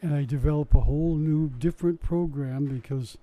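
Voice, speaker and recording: A man's voice: on the high side, normal speed, mellow, rough recording. An older man telling stories in a casual interview about running science shows, chatty and wry with frequent restarts. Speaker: interviewee recalling his science show work. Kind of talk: casual oral-history storytelling.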